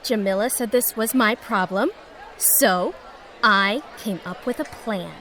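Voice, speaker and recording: A woman's voice: mid-range voice, measured pace, fairly bright tone, some chatter behind, slight background noise, natural voice. A woman playing an exasperated, determined schoolgirl with an emphatic, sarcastic manner in an audio drama. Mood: determined, scheming. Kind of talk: scripted children's audio drama.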